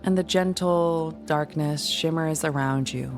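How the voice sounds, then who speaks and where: A woman leading a guided meditation in a soothing, gentle, unhurried manner. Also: low voice. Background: music.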